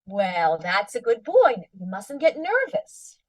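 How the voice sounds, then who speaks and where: An actress playing a nagging, extravagant wife in a 1940s-style radio comedy, prim and mock-sweet. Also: female voice, medium pitch, huge pitch swings, slow, a little mellow, far from the mic, heavily acted.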